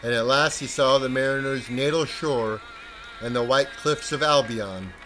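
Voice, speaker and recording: A man reading a children's story expressively, with a deliberate, rhythmic delivery that savours the words. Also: mid-range voice, fairly bright tone, slight background noise, rough recording. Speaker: storyteller, podcast reader. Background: music.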